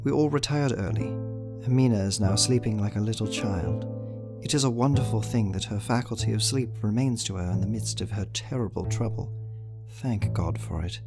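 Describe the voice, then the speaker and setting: Radio drama diarist: male actor as grave, devoted young husband, low and brooding, music under the voice. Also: moderate pace, gravelly. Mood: weary, wistful. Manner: solemn, brooding, reflective. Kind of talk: radio play diary narration.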